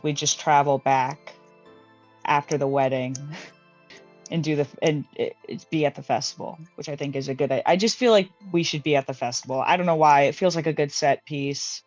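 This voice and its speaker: A woman with a somewhat low pitch talks casually at a tabletop game, thinking out loud and restarting her sentences. The mic is dull and dry, and soft music plays underneath. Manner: thinks aloud with many restarts and fillers. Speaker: player. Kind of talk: casual tabletop planning chat.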